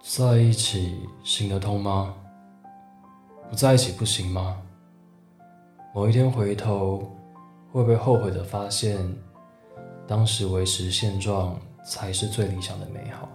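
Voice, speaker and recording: A reserved, hesitant man in his forties played by a young male actor speaks low in a roomy, echoing space, with guitar music behind him. He is a wary, secret romantic from a radio drama. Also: steady tone, unhurried, long pauses, a little mellow, lightly acted. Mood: anxious, hesitant. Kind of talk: radio drama acting with inner monologue.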